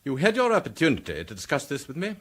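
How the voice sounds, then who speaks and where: A haughty senior police chief in a radio drama, with a husky voice that swings widely in pitch. He speaks in a cold, clipped manner and gives orders as though he expects them to be obeyed. The delivery stays stern and imperious. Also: wildly swooping pitch, quick pace, a bit bright, exaggerated voice. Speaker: police commissioner figure.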